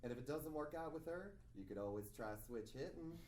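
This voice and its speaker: Man playing an expressive mentor, echoey room. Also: medium pitch, fairly quick, natural voice. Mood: earnest. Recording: echoey room, distant mic, faint noise.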